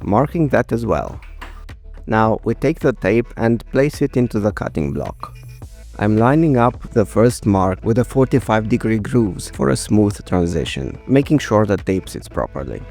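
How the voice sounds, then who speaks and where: A man in his thirties or forties presenting a scripted explainer in a measured, precise way. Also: lowish voice, big pitch swings, vocal fry, a little mellow. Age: 30s to 40s. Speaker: video presenter. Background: music.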